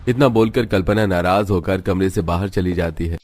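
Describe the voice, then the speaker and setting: Male narrator, fairly deep voice, scripted storytelling for an animated family tale. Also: normal speed.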